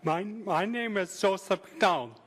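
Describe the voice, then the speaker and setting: A man with a speech impediment giving a prepared public statement, halting and sometimes stumbling over his words. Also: high-pitched, fairly bright tone. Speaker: public commenter.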